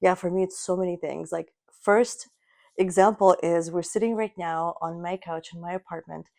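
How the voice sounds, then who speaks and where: A woman in her thirties, a native Russian speaker, co-hosting a casual podcast from her apartment couch, animated and quick to laugh. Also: on the low side, gravelly. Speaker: podcast co-host. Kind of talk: casual chat. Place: living room couch in an apartment.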